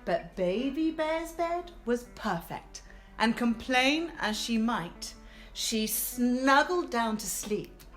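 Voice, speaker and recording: A woman storyteller with a high, very lively voice reads a rhyming children's tale. She takes it very slowly and theatrically, with guitar music clearly playing behind her. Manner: theatrical, expressive.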